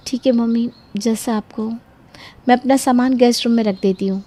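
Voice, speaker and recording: A woman's voice: a little high, steady tone, moderate pace, dark tone, voice pushed up, natural voice. A woman voicing a young married daughter in a cartoon story, polite but easily wounded and given to complaint. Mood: resigned.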